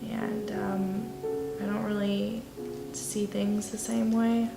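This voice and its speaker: Young woman, documentary interviewee, halting and candid, gravelly fry, instrumental music behind her. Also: medium pitch, flat delivery. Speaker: documentary interviewee, nursing student. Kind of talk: candid personal reflection in an interview. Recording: roomy echo with music behind.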